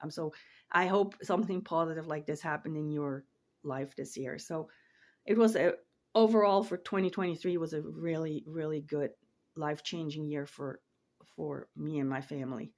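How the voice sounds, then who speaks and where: Low-voiced woman vlogging from her home office. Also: steady tone, moderate pace, a little mellow. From Switzerland. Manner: rambling, repeats words, trails off. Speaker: online reseller and vlogger. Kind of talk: casual chat to camera.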